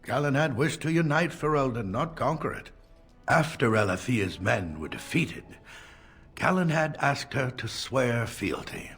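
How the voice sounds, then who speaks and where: A very husky elderly scholar for a fantasy game, lecturing in a pedantic, theatrical way. His pitch moves in lively swoops as he plays the stern, fussy tutor. Faint music sits behind him. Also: unhurried, full character voice. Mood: pleased, lecturing. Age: older. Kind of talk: video game voice acting.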